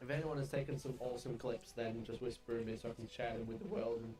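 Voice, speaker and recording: A man in his twenties talking loudly and laughing on a live stream in a replayed clip. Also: mid-range voice, fairly even intonation, fast. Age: 20s. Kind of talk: casual live-stream chat. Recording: distant clip audio over music.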